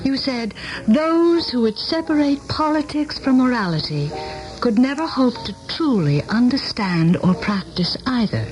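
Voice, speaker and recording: Radio play: proud, earnest middle-aged lady, formal diction, highly animated delivery, music underneath. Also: unhurried, poor audio. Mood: earnest, wistful. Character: proud, wistful older former student confronting her teacher. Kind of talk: radio drama acting. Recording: old radio drama broadcast, music behind.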